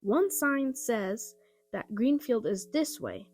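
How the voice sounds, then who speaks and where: A woman's voice: on the high side, big pitch swings, slow pace, faint music behind, full character voice. A woman voicing a responsible, cheerful older brother in a children's storybook, reassuring and instructing. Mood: bright, pleased.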